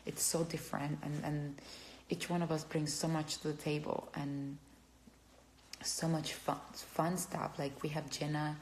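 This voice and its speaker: Woman, Cuban accent, low voice, halting fan chat, phone mic, faint hiss. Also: flat delivery, darkish tone, poor audio. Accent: Cuban Spanish. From Cuba. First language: Spanish. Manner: halting, searching for words, gushing. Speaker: actor answering fans. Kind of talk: casual livestream chat.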